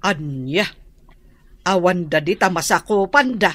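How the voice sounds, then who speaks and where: A woman's voice: on the low side, lively intonation, unhurried, dry recording. An actress playing a scornful, combative woman in a radio drama.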